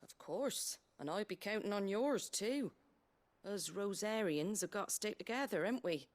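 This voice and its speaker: A woman voicing a plain-spoken, practical village woman in a game, matter-of-fact and gently teasing. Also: medium pitch, lively intonation, moderate pace, lightly acted. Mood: wry, proud. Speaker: video game character. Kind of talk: dramatic game voice acting.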